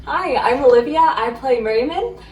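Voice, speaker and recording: A woman's voice: high-pitched, lively intonation, normal speed, darkish tone, lo-fi sound. A young woman presenting a step-by-step tutorial. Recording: echoey room with faint music. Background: faint background music.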